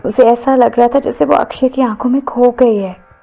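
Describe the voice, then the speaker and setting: A woman's voice: a little high, steady tone, normal speed, vocal fry, phone-quality sound, faint music behind. A woman storyteller narrating a romance story in a dramatised style.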